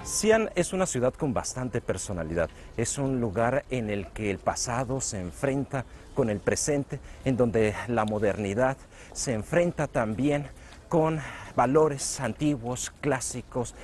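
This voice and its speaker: A middle-aged man with a Mexican accent, a television news presenter hosting and narrating a travel documentary in a measured, polished broadcast manner. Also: mid-range voice, animated, a little mellow, slight background noise. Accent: Mexican Spanish. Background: music.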